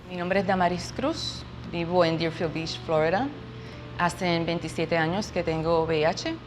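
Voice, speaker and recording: A woman with a lowish voice frankly recounts a painful personal experience with heartfelt feeling. Soft music runs beneath her. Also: steady tone, normal speed. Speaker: interviewee. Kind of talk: personal testimony.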